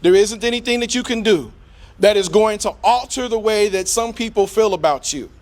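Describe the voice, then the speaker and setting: High-pitched man giving a rousing speech. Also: a little mellow. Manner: deliberate, rhetorical, with emphatic repetition. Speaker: community speaker. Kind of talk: impassioned public speech, preacher-like oratory.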